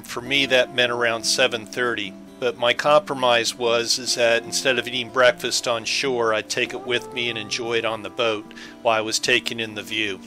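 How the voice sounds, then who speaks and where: A man's voice: mid-range voice, pushed and bright. A man giving scripted voice-over narration as an angler recounting his fishing trip.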